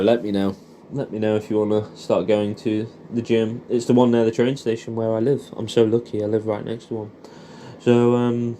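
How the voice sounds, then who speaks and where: A man's voice: mid-range voice, warm tone, slight background noise. A young man with an English accent speaking in a casual, intimate, rambling and hesitant monologue, as if catching up with an old friend. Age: young adult. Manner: rambling, hesitant, informal with swearing.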